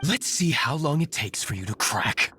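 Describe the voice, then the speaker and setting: A cool young game vigilante with a formal, threatening manner. His pitch sits in the middle but moves in lively swings, controlled and stern, over faint music. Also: normal speed. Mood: cold menace. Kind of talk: video game voice acting.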